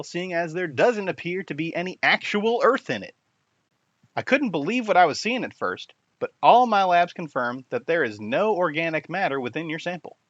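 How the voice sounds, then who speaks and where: Man playing an eccentric professor, wildly swooping high voice. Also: a bit bright, no echo, heavily acted. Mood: baffled excitement. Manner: theatrical, swooping, precise academic delivery. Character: Doctor Perry Wrinkle, eccentric, enthusiastic male soil science professor. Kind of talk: scripted voice memo in audio drama. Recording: dull, lo-fi voice memo, dry.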